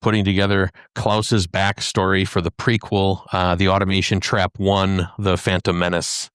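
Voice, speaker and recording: A man's voice: low voice, flat delivery, moderate pace, a bit bright, clear, resonant tone, no echo, clean studio sound. A man talking casually as a panelist on a podcast. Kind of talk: casual discussion.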